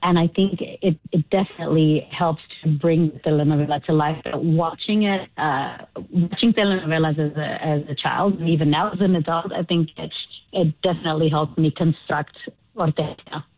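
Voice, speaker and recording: A lively woman guest speaks in a slightly low voice, breaking into laughter and acted-out quotes. Her voice comes through a thin, phone-like line. She talks casually about her work. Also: moderate pace, dry recording. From Chile. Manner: animated, laughs often, acts out quotes. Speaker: stage actor, guest. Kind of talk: casual interview chat.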